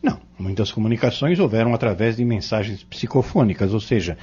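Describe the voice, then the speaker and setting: A man's voice: lowish voice, animated, brisk, dark tone, breathy edge. A man playing a learned, patient spiritist mentor in an audio novel, speaking formally and didactically with a Brazilian accent. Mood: earnest, explanatory. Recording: dull lo-fi audio drama recording.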